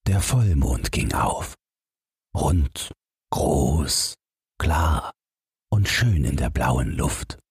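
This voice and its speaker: A male audiobook narrator with a low, breathy, husky voice. He reads a bedtime fairy tale slowly, with expressive rises and falls in pitch. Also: no echo, clean studio sound.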